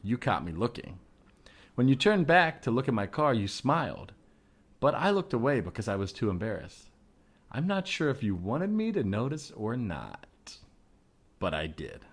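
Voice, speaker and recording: A man's voice: mid-range voice, sing-song, normal speed. A man, a podcast co-host, reading a short personal ad aloud.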